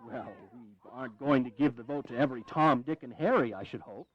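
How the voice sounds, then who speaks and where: A man performs an eloquent, impatient New England congressman from a historical audio drama. He declaims with exasperation and grumbles indignantly at a middling pitch. The recording is slightly dull and dated. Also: fairly quick, lightly acted. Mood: impatient, gloomy.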